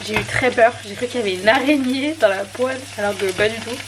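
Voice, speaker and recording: A woman's voice: fairly high pitch, fast talker, noisy background. A young woman and mother vlogging casually at home, chatting in a rambling way full of fillers and restarts. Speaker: family vlogger, mother of a newborn. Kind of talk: casual chat to camera. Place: at home, bedroom and kitchen.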